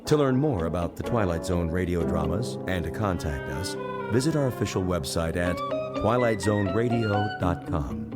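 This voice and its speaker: A male announcer reads scripted radio credits. His voice is very low, and loud music plays behind him. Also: expressive, normal speed. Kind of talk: scripted closing credits read.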